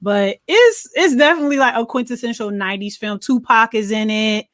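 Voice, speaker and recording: A woman's voice: on the high side, lively intonation, fairly quick, fairly bright tone. A woman co-hosting a casual film review chat, talking in an informal, slangy, conversational way. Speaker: podcast co-host.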